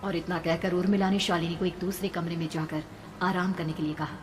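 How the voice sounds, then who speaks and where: A woman's voice: fairly low pitch, little pitch movement, brisk. A woman telling a horror story as dramatic scripted narration. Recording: long reverb, background noise.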